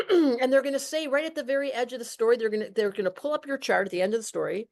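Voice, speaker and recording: Playful, animated woman podcast host. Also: a little high, fast. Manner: animated, playful, preachy, acts out voices in her stories. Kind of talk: casual podcast conversation.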